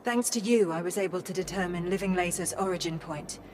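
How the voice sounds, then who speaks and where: An actress voicing a calm, polite female AI assistant with a formal, courteous manner in video game dialogue. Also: on the low side, fairly quick, slight background noise, lightly acted. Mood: measured. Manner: formal, courteous, addresses him as sir. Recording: with game music behind.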